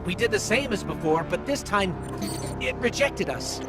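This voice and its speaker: An earnest little robot companion who speaks with quick urgency. The voice is fairly high and lively, with loud music under it. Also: male voice. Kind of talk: video game dialogue.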